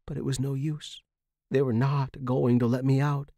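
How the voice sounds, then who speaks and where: A man's voice: mid-range voice, little pitch movement, moderate pace, mellow, dry recording. A man hosting a fiction podcast and narrating scripted horror in a dramatic style.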